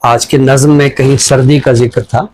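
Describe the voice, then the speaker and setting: Male, Pakistani accent, mid-pitched voice, literary presenter with measured phrasing. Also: flat delivery, a little mellow, a little hiss or hum. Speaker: literary show presenter reciting a poem and introducing a book. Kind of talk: poetry recital and literary talk.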